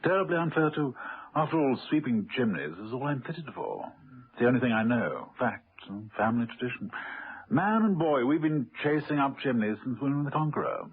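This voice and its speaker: An actor playing a cheerful, courtly, posh British chimney sweep with flowery, hesitant speech in a muffled radio play. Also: male voice, mid-range voice, sing-song, a little fast, breathy edge. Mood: jovial, affable. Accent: upper-class British. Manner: flowery, plummy, genteel and hesitant. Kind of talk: radio drama acting. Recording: muffled old radio recording.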